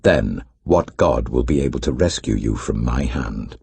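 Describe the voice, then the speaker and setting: A British man voicing a proud, menacing ancient king, deliberate and imperious, in a scripture audiobook. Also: extremely low pitch, lively intonation, vocal fry, darkish tone, slight background noise, pitched below the performer's own voice, exaggerated voice. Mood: imperious menace.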